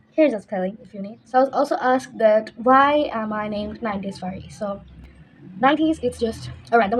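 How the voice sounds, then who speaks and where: A woman's voice: fairly high pitch, slight background noise, poor audio. A fifteen-year-old young woman, chatting casually as a YouTuber answering viewer questions. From Bangladesh, raised mostly in Dhaka. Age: teenager, fifteen.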